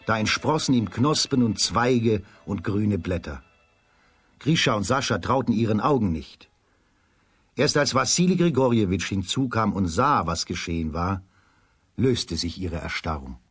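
Male narrator, lowish breathy voice, dry close sound, scripted children's storytelling. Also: animated, normal speed, a bit bright, no echo, faint music behind.

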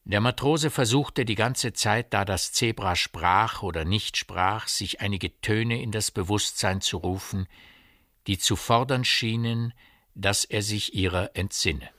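A man's voice: fairly low pitch, a little slow, bright, forceful tone, dry recording, studio quality. A man narrating a literary radio play in a precise, formal way. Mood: ominous.

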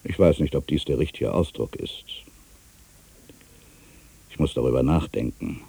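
A man's voice: rumbling low, unhurried, gravelly, dark tone, breathy edge, full character voice. A man playing an ageing, solitary writer, proud and wry, brooding through a literary dramatic monologue. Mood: brooding, bitter. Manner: brooding, self-questioning, swinging from mutters to outbursts.

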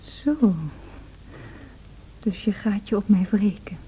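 A woman's voice: mid-range voice, expressive, warm tone. A woman playing a tempestuous, self-pitying young married woman in a radio drama, theatrical and emotional. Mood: reproachful, tense. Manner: theatrical, emotional, slow and deliberate. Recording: noisy old radio drama recording.

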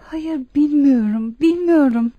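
A woman's voice: sits high, slow, dry recording. A woman playing a dazed, anguished patient with memory loss in a radio drama, speaking in a faltering, plaintive, repetitive way. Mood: confused. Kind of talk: Turkish radio theatre acting. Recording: muffled, dull radio-play recording.

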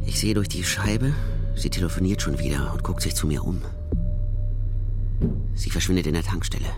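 A man playing an anxious young man on the run in a crime radio drama, speaking in a tense, confiding way. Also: sits low. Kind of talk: first-person crime radio drama acting. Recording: loud music behind the voice.

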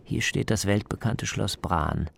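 A man narrating a scripted radio travel feature as the reporter, in a measured, descriptive way. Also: lowish voice, vocal fry, husky.

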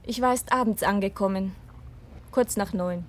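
A woman playing a composed, insinuating witness in a 1970s radio crime drama, with measured, pointed phrasing. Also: mid-range voice, close to an everyday voice. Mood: quietly accusing. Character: composed, probing female witness, coolly insinuating.

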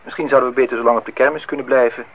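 An actor playing a polite, lonely host in a radio play, formal and slightly insistent. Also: male voice, medium pitch, fairly even intonation, very fast, close to an everyday voice. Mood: hesitant, matter-of-fact. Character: polite, lonely man hosting a young woman at his lakeside house. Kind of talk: Dutch radio play acting. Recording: noisy, tinny old radio recording.

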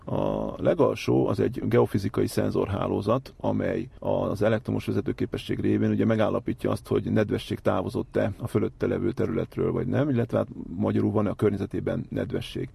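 A man's voice: on the low side, level tone, gravelly, hardly any pauses, warm tone, breathy edge, no echo. A man working as an operations manager, giving methodical, technical explanations in an interview.

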